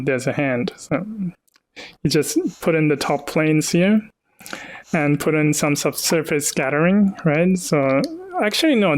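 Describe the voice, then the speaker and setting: A man from Hamburg with a light North German accent, chatting casually and explaining his work while he animates on a live stream. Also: fairly high pitch. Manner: easygoing, laughs often, explains patiently. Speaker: artist hosting a live stream.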